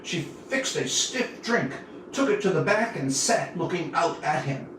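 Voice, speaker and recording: Older man reading a story, slow and animated. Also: a little high. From Newfoundland, Canada. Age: 70s. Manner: deliberate, dramatic pauses between short phrases. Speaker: author reading own story. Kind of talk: expressive storybook narration, reading aloud. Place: indoor room, livestream reading. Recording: roomy, distant mic with faint noise.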